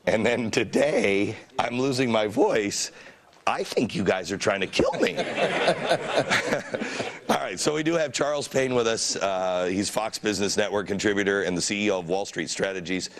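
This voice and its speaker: A man's voice: lowish voice, moderate pace. A middle-aged male talk show host with a cold, losing his voice, rambling with many restarts as he leads a studio panel. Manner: rambling, self-deprecating, with frequent restarts. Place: television studio with audience.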